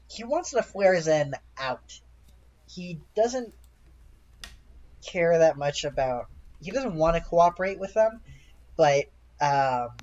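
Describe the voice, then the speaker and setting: Halting male game master, slightly muffled. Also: a little high, darkish tone. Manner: halting, searching for words. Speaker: dungeon master. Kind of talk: improvised tabletop game narration.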